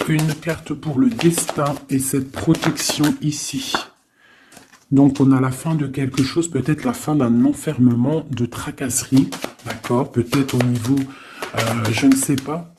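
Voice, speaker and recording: A man giving a casual, improvised tarot reading, thinking aloud in an informal, halting way with frequent little tag questions. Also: medium pitch, fairly even intonation, noisy background. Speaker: tarot card reader.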